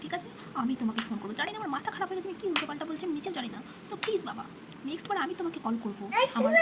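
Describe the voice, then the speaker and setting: Female performer as snobbish young man for puppet drama, haughty, fast, very animated delivery. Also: high voice. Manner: haughty, mixes English phrases into speech. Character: arrogant young man, status-obsessed, disdainful suitor. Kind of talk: amateur puppet-show dialogue. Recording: noisy amateur recording.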